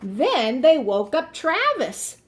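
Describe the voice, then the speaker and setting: A woman reading a picture book aloud for young children in an expressive teacherly way, pausing to explain words simply. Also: sits high.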